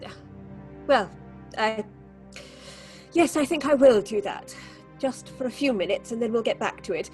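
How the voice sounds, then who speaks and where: Give me a high-voiced, imperious, flustered woman director , acted. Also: lively intonation, normal speed, pauses often, darkish tone, faint music behind, lightly acted. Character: highly strung, superstitious woman directing a stage play. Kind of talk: audio horror drama acting.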